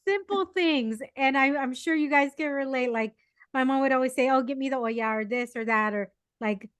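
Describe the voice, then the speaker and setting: Woman with higher voice, animated podcast co-host, dry close sound. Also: moderate pace, smooth, dry recording. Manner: animated, storytelling with fillers. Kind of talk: casual chat.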